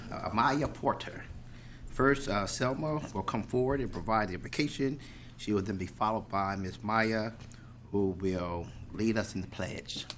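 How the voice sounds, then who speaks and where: A man's voice: mid-range voice, slight background noise. A man chairing a school board meeting, formal and courteous as he introduces speakers at a podium microphone. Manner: courteous, measured, with polite introductions.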